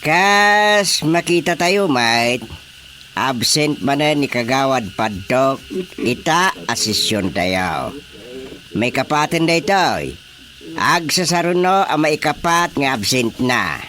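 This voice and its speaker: A man's voice: mid-range voice, lively intonation, slow, bright, forceful tone. A Filipino man playing a bossy, scheming village council chairman in a comedic radio drama.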